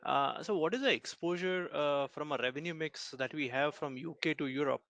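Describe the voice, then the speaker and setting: Creaky-voiced male analyst asking questions. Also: a little high, a little fast, a bit bright. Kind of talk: formal question on an earnings call.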